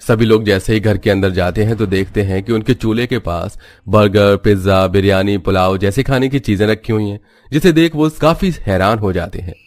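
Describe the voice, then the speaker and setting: Low-pitched man narrating a story, dry sound. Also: moderate pace, hardly any pauses, a little mellow, no room sound. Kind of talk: scripted cartoon story narration.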